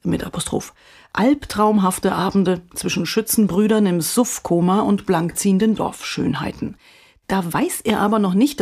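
Woman podcast host reading a scripted obituary, husky voice, wry dramatic pauses. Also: lowish voice, darkish tone.

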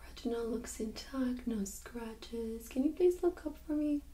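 A woman's voice: on the high side, unhurried. Whispering young woman, ASMR medical exam. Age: young adult. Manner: soft-spoken, mostly whispering, gently instructing. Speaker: ASMR creator playing a medical examiner. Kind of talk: ASMR medical role-play. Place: small indoor room set up as exam room.

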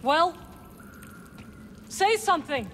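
An actress playing a resolute, guarded young witch in a video game, speaking in a measured, solemn way. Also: very high-pitched, animated, a bit bright, slight background noise, exaggerated voice. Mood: impatient. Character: resolute, guarded young woman, a Force-wielding witch ally. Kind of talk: cinematic video game acting. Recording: music behind the voice.

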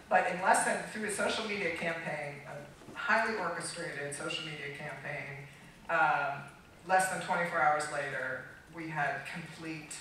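A middle-aged American woman speaking unscripted to a conference audience, halting and emphatic, restarting her phrases as she goes. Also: sits low, sharp tone. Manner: halting, emphatic, with repeated false starts. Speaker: conference keynote speaker, trademark attorney. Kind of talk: public talk to an audience, unscripted. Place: large dark auditorium stage, recorded from far off. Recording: echoey hall, distant microphone, faint noise.

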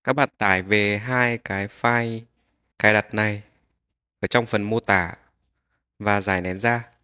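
A man narrating a step-by-step software tutorial, explaining each click as he goes. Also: on the low side, steady tone, measured pace, clean tone.

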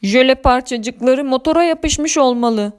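A woman's voice: high-pitched, unhurried, clear, resonant tone. A woman voicing a friendly, loyal green monster race driver in a children's story, spirited and matter-of-fact. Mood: urgent, explaining.

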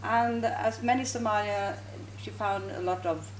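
A woman's voice: mid-range voice, fairly even intonation. Swedish-accented woman in an echoey hall. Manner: deliberate, searching for words. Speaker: panel speaker, professor. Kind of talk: panel talk. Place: stage in a hall with audience. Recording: echoey hall with faint hum.

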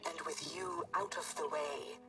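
A woman's voice: low-pitched, steady tone, measured pace, over music. A woman playing an imperious, solemn alien hive royal in a video game, with stately, declamatory delivery. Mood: reverent, menacing.

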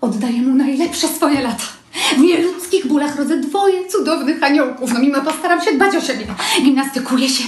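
A young woman plays a suspicious, melodramatic young wife who fires off accusing, theatrical outbursts. Her voice is high. It echoes in a roomy home room, with a faint hiss underneath. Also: brisk, lightly acted. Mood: outraged. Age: young adult. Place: room at home, recorded on phone.

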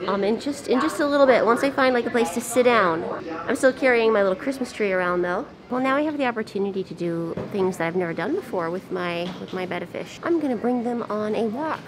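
Young woman, hoarse voice, chatty vlog, camera at arm's length. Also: mid-range voice. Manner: chatty and excitable. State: hoarse from shouting. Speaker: vlogger. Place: hotel room, then airport. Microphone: handheld camera at arm's length.

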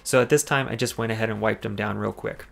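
A man's voice: lowish voice, steady tone, fast, gravelly, over music. A man narrating a car detailing video as voice-over, explaining each cleaning step and giving tips. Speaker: car detailing channel host.

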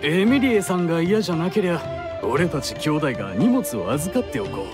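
Lively, higher-pitched young man. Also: a little fast, over music. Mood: affable, directing. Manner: casual, relaxed masculine speech. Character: easygoing, confident elder brother, young man. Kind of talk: anime game dubbing.

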